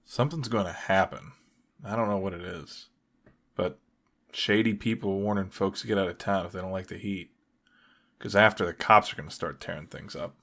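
A weary superhero archer played by a male actor speaks in a low register. His delivery is wry, understated and deadpan, suited to a motion comic. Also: moderate pace. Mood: explaining, dry. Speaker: voice actor narrating and playing lead.

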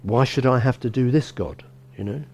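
A middle-aged man, a bishop, gives a relaxed sermon in a small church, talking conversationally with frequent restarts and "you know". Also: mid-range voice, moderate pace, a little hiss or hum. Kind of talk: informal sermon.

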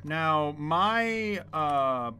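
A young man livestreaming a horror game, chatting to viewers with jokey, excitable, crude swearing. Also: a little high, very slow, clear, resonant tone. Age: young adult. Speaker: game streamer. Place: small dim room indoors at home. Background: horror game music.

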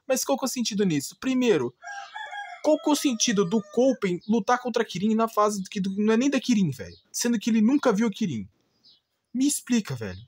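A man with a Brazilian Portuguese accent gives an informal, blunt rant, exasperated and repeating himself with rhetorical questions. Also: high voice. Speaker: gaming channel host.

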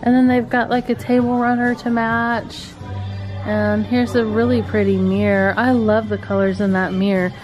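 A woman's voice: a little high, level tone, normal speed, a little mellow, soft background music, low-quality recording. A middle-aged woman, filming a chatty shopping vlog in a home decor store and gushing over cute items on a handheld camera. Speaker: vlogger. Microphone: handheld camera mic.

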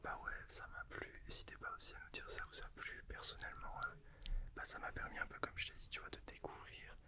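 A young adult man, whispering casually as the host of an ASMR chat. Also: quick pace. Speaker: video host, ASMR beginner. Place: small indoor studio with sofa. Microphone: shared desktop condenser mic, close.

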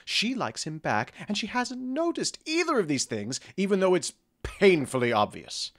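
Dude as a snippy fairy king, bright tone, swings way up and down, slow. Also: sits high, big pitch swings, heavily acted. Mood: exasperated. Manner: exasperated, commanding. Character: haughty fairy king, impatient. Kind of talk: audio drama acting.

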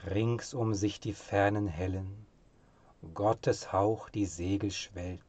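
A man reciting literary poetry in a solemn, measured and expressive manner, with phrase endings that drop to a hush. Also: fairly low pitch.

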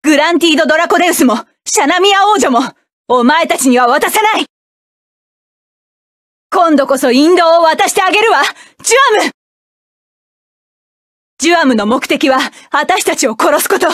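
A fierce, high-voiced mech pilot. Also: female voice, lively intonation, normal speed, pauses often, dry recording, studio quality. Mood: grim. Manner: commanding, defiant battle shouts. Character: fierce proud female mech pilot, young adult. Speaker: mecha pilot character. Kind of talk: video game battle voice lines.

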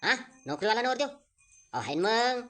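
Man as an eager, bright-voiced village matchmaker. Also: sits high, very animated, normal speed. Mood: eager selling. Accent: rural Maharashtrian. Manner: persuasive, folksy, exclamatory. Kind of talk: comic cartoon dialogue.

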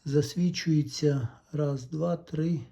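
A man giving a casual, step-by-step repair demonstration, thinking aloud in an unhurried, explanatory way. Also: on the high side, fairly even intonation, vocal fry, dark tone. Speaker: electronics repair hobbyist narrating.

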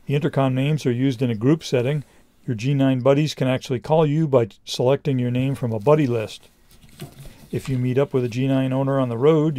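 A middle-aged man reading a scripted product review in a measured, explanatory way. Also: mid-range voice, dark tone, dry recording, studio quality.

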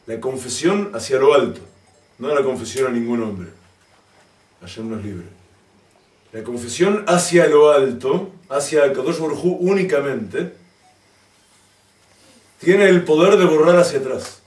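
A man's voice: medium pitch, animated, unhurried, pauses often, darkish tone, distant mic. A man who is a rabbi gives a Torah lesson to a community, speaking emphatically, using rhetorical questions and wry asides. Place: community hall. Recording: roomy echo, from away from the mic.

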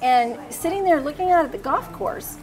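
A woman's voice: high-pitched, expressive, moderate pace, faint music behind, poor audio. A middle-aged woman hosting a casual, upbeat chat over dinner on a restaurant patio, with a lapel mic. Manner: chatty, upbeat, leads the talk. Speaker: host.

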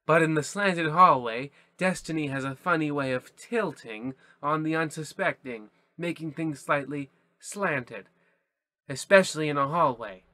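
Sinister male horror-anthology host: theatrical, drawn-out delivery, forceful bright edge, dry close sound. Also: a little high, no room sound. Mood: ominous. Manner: theatrical, drawn-out, mock-ominous. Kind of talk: parody of old-time horror radio hosting.

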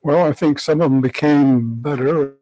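An older man speaks as a historian guest on a radio show. His voice is mellow, with a middling pitch. He answers in short, matter-of-fact remarks, close to a dry studio mic. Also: fairly even intonation, moderate pace, no echo, rough recording. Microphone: studio mic with headphones.

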